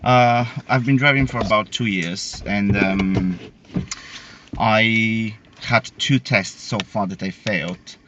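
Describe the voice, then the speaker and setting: A man's voice: on the low side, slow pace, music in the background. A young man from Bulgaria with a Bulgarian accent, a learner driver talking hesitantly with many fillers inside a car. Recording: dull in-car audio.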